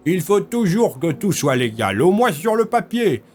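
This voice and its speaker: A man plays a refined, scheming military leader in an audio drama. His voice sits a little high and moves with lively intonation, always courteous and smug. Music plays faintly underneath. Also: normal speed. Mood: smug, persuasive. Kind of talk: French audio fiction acting.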